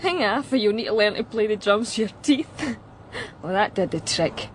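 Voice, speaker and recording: An actress playing a shaken, chatty young woman musician with a Glasgow Scottish accent, talking in a halting, blunt, colloquial way in a radio drama. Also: medium pitch, expressive, slight background noise, close to an everyday voice. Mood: wry, blunt. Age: young adult. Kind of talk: naturalistic radio drama acting.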